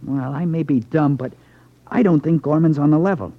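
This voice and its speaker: An actor playing a nervous, sheepish young playboy in a 1950s radio crime drama, earnest and defensive in manner. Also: male voice, fairly high pitch, normal speed. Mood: sheepish, confessing. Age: young adult. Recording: dull lo-fi 1950s radio recording.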